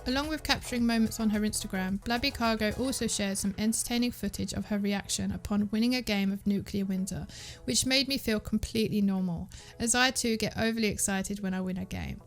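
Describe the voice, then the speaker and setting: A woman's voice: mid-range voice, steady tone, brisk, faint music behind. Woman hosting a gaming recap. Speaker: host of a gaming community recap show. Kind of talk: scripted narration, YouTube gaming news.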